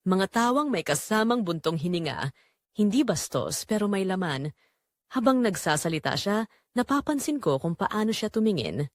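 A woman's voice: medium pitch, no echo, studio quality. A woman narrating a first-person romantic drama in an intimate, dramatic way. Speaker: audio story narrator.

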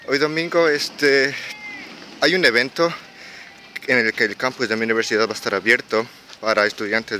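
A young man in his twenties with an Ecuadorian Quito accent, vlogging casually while walking outdoors on quiet residential streets, talking hesitantly with many fillers and restarts. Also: on the low side, a little hiss or hum. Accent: Ecuadorian Spanish (Quito). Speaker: exchange student vlogger. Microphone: handheld camera while walking.

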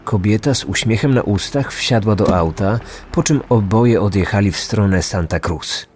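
A low male voice narrates a scripted true crime story. He is a podcast host in his thirties, and music plays behind him throughout. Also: normal speed, darkish tone. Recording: music behind, some reverb.

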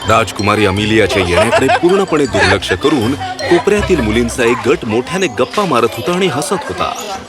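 A man's voice: medium pitch, animated, fairly quick. A man narrating a scripted children's fairy tale as a storyteller. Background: background music.

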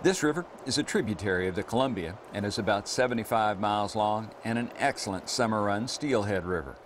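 A man hosting and narrating an outdoor fishing program in measured, scripted voice-over. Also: fairly low pitch. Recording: voice-over with noticeable background hiss.

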